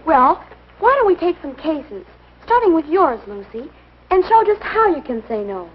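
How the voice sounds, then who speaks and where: A woman's voice: high voice, very animated, moderate pace, slight background noise. A woman playing a sensible, earnest teenage girl in a 1950s instructional film, speaking carefully. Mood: thoughtful. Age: young adult. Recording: muffled old educational film soundtrack.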